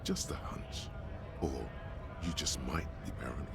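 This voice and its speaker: A man's voice: very deep voice, normal speed. A man voicing the brooding inner voice of a detective in a video game, musing in a suspicious, probing way. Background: loud game music.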